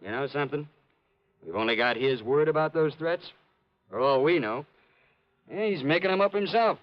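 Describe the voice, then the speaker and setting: A man's voice: fairly high pitch, expressive, moderate pace, natural voice. A man playing a brash, dismissive American police sergeant in 1950s radio drama style, slangy and impatient. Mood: breezy, impatient. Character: gruff, dismissive, know-it-all male police sergeant. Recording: dull vintage radio recording.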